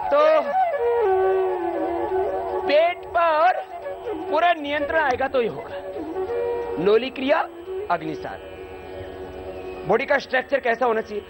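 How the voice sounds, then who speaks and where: High-pitched man teaching yoga, lively. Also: slow, long pauses, highs cut off, over loud music. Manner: lively, demonstrative, folksy humor. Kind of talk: live yoga instruction to soldiers. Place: outdoor army yoga camp.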